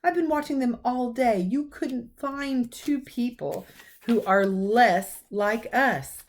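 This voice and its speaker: A woman's voice: a little high, takes it slowly, voice pushed up. Lady doing a strict older witch teacher in a kids book, indignant, stresses her words, bit anxious. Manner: emphatic, indignant.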